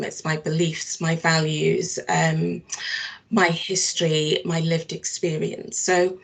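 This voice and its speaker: Slow, gravelly-voiced woman in her fifties. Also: fairly low pitch. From Barbados. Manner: thoughtful, measured, many restarts and fillers. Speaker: social work team manager. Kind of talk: interview answers.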